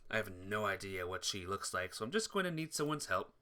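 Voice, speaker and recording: Bright, animated man streaming a game. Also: on the low side, brisk. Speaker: streamer playing a visual novel. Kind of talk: casual gameplay commentary and reading game text aloud. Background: game music.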